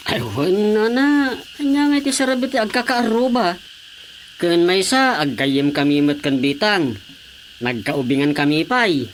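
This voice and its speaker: Woman as earnest young villager, low voice. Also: sing-song, unhurried, slight background noise. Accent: Ilocano. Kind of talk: radio drama acting in Ilocano.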